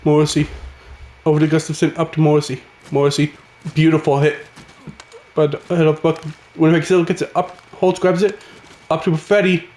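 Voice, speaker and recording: A man, raspy from a cold, giving casual play-by-play commentary over a hockey video game. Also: fairly high pitch, fairly quick, roomy sound. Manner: excitable, calls the action as it happens. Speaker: gaming channel host.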